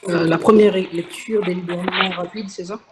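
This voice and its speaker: A man in a public speaking class, giving a measured, thoughtful opinion and reading aloud. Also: high voice, fairly even intonation, background noise.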